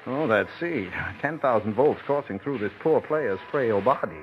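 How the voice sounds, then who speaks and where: An actor playing a scheming male prisoner who fakes guilt-crazed madness, switching between sly murmurs and theatrical shouting in a 1940s radio play style. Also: medium pitch, expressive, moderate pace, lo-fi sound, background noise, close to an everyday voice. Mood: self-coaching.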